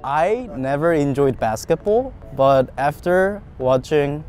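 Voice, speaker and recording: A man's voice: fairly high pitch, lively intonation, slow, a little mellow, soft background music. A young man from South Korea, a student chatting upbeat outdoors on a campus lawn. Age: young adult. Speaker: international student. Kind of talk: casual interview.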